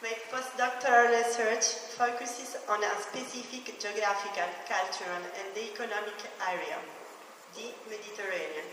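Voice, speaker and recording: A woman's voice: medium pitch, steady tone, normal speed, background noise. A young woman with an Italian accent gives an academic conference presentation, speaking carefully and sometimes restarting words. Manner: careful non-native phrasing with restarts. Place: large hall. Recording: echoey hall from far off.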